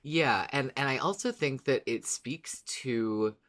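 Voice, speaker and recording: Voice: medium pitch, slow, a bit bright. A podcast co-host chatting casually and expressively about a favorite show, dramatizing reactions. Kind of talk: casual fan podcast chat.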